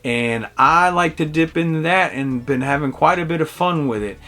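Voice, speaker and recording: A man's voice: a little high, normal speed, bright, forceful tone. A man giving a casual, enthusiastic video game review in a conversational way. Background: game music behind the voice.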